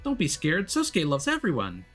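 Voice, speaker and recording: A man's voice: fairly high pitch, wildly swooping pitch, normal speed, a bit bright, faint music behind, voice pushed up. A man voicing a cheerful, innocent young boy who is eager and devoted to his dog. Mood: eager, bright.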